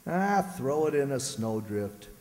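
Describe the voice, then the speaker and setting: A man playing a grumbling, hapless but soft-hearted husband in an old-time radio comedy, griping in folksy exclamations. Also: medium pitch, big pitch swings, unhurried. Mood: weary, grumbling. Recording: reverberant community stage hall.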